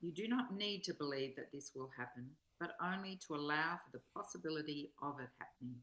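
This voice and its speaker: A woman with a low-pitched voice speaks in a measured, reflective manner, as in a spiritual study session. The room adds a noticeable echo around her words. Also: lively intonation, a bit bright. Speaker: co-presenter reading and discussing a spiritual text. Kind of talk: slow read-aloud and reflective study conversation. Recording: roomy, echoing sound.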